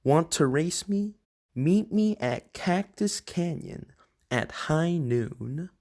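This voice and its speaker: A reader acts out a little car from a children's storybook, a determined underdog. The pitch moves around in an animated way and the words come very slowly. It sounds earnest and plucky.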